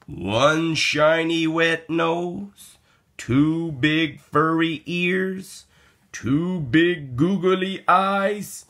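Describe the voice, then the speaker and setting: A man reading a children's picture book aloud in an expressive, sing-song way with drawn-out words. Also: fairly high pitch. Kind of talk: animated children's read-aloud.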